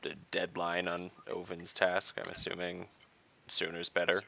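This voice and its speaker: A man with a low, creaky voice that still cuts through brightly. He talks as a player in a tabletop game, laying out plans in a dry, matter-of-fact, conversational way. The audio is a little dull, like an online call. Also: monotone, a little slow. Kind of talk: casual in-game planning talk.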